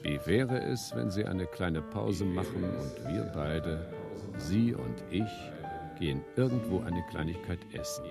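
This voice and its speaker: Low, creaky, insinuating questioner. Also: male voice, animated, a little slow, over loud music, full character voice. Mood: coaxing. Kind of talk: German radio play acting.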